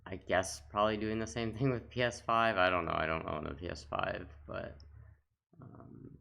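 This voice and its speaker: Low-pitched guy chatting casually for a gaming video, bright clear sound, kinda rambly. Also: normal speed, a little hiss or hum. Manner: rambling, reminiscing. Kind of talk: casual off-the-cuff commentary.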